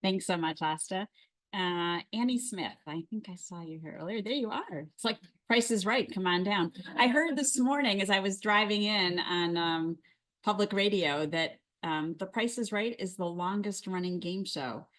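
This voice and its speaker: A woman's voice: mid-range voice, moderate pace, a bit bright. A woman moderating a seminar, introducing speakers in a playful, joking way. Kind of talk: casual chat.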